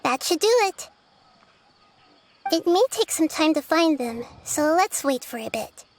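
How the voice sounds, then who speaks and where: An actress voicing a small, gentle girl goddess who speaks softly, earnestly and reassuringly. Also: high-pitched, animated, measured pace, slight background noise.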